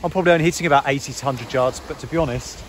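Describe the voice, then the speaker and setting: A man's voice: mid-range voice, racing. A man, talking casually and instructively as a fishing vlogger. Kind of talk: casual instructional vlog talk. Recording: clear background ambient noise.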